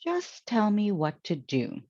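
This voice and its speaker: An earnest housekeeper from a children's storybook, acted out by a woman narrator, plain-spoken and eager to help. The voice is mellow and unhurried, with lively swings in pitch, recorded dry and slightly dull. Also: dry recording, exaggerated voice. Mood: willing, puzzled.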